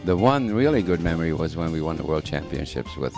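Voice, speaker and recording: An older man from Hungary, a senior tennis champion, talking in a measured, reflective way. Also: rumbling low, lively intonation, over music.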